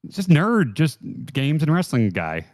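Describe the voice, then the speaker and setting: A man with a voice slightly higher than most co-hosts a podcast. His delivery is casual, conversational banter among friends. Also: huge pitch swings, unhurried, a little mellow. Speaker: podcast co-host.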